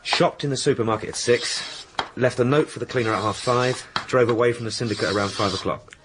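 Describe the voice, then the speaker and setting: Man playing a quick-talking, deferential police sergeant. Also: mid-range voice, steady tone, noisy background, natural voice. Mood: earnest, dutiful. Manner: earnest, matter-of-fact reporting with polite hesitations. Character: deferential, diligent male police sergeant, junior to his boss. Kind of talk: radio drama acting.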